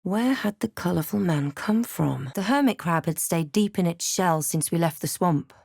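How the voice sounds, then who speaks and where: A wary, snarky female adventurer from a fantasy game, her voice on the low side. She delivers lines with measured, dry drama, recorded close and dry. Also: expressive, gravelly, a little mellow, no room sound. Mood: solemn narrating. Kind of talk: video game character lines and narration.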